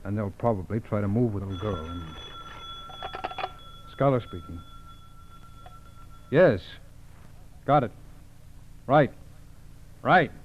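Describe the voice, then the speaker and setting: A man's voice: on the low side, animated, takes it slowly, long pauses. Casting: businesslike G-man, terse and matter-of-fact; old radio crime drama, dull sound with slight hiss. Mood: brisk, alert. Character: businesslike, level-headed FBI special agent. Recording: dull, hissy vintage radio recording.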